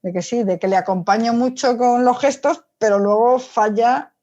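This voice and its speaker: A woman's voice: a little high, normal speed, dark tone. A woman psychologist answering as an interview guest, talking informally and emphatically, often with rhetorical questions. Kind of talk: conversational interview, explaining opinions. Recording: dull lo-fi audio.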